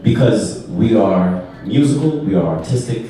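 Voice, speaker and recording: A man's voice: on the low side, lively intonation, a little slow, mellow, a little hiss or hum. A man from New York, a panelist making an emphatic, lecturing argument at a live taped discussion. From New York, USA. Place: large echoey art venue, live taping. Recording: very roomy, distant live recording.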